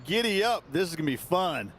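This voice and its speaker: A man's voice: high voice, sing-song, fairly quick, fairly bright tone, slight background noise. A man playing a folksy, enthusiastic golf analyst in video game commentary, with colloquial, punchy phrasing. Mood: eager. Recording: music under the voice. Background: music.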